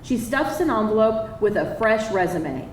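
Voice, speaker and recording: A woman's voice: medium pitch, animated, normal speed. Woman narrator reading screenplay, roomy and distant. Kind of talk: reading screenplay stage directions aloud. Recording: echoey live hall, distant, faint noise.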